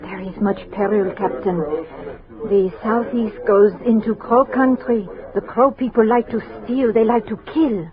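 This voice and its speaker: An actress playing a gentle, earnest young Native woman guide in a radio drama, speaking in simple, careful, formal phrases. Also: a little high, fairly even intonation, a little slow, over a noisy crowd, close to an everyday voice. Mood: grave warning. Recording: muffled old radio recording.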